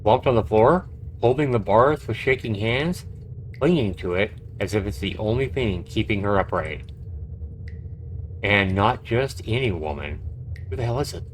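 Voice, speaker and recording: A man's voice: on the low side, lively intonation, normal speed, a little hiss or hum, rough recording. A man live-streaming a game, reading its text aloud and adding casual, reactive commentary. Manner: reactive, conversational.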